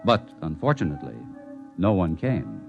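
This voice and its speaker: Low-voiced male narrator over music. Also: sing-song, moderate pace, vocal fry, narrow, tinny audio. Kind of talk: scripted narration.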